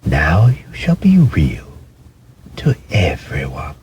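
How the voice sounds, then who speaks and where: A man voicing a kindly female nursery fairy in a storybook reading, with a breathy half-whisper and a slow, tender, reassuring manner. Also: rumbling low, wildly swooping pitch, a little mellow, slight background noise, heavily acted.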